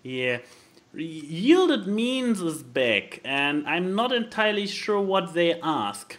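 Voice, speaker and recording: A man co-hosting a casual podcast, speaking haltingly and stammering as he searches for words. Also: on the high side, sing-song, a bit bright. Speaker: podcast co-host.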